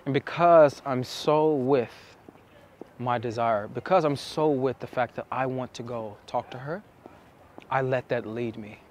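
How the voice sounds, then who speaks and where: A young man explaining to camera as a dating coach, with emphatic, deliberate phrasing and pauses, recorded outside on a city street. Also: medium pitch. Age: young adult. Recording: outdoor city street with traffic noise.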